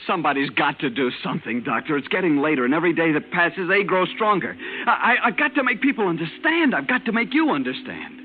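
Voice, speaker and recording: An actor playing an urgent, emotional navy bomber pilot in a 1950s radio drama, talking in wartime slang. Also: male voice, a little high, very animated, quick pace, hardly any pauses. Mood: desperate pleading. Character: intense, haunted young navy bomber pilot lieutenant. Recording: tinny, very noisy old radio recording.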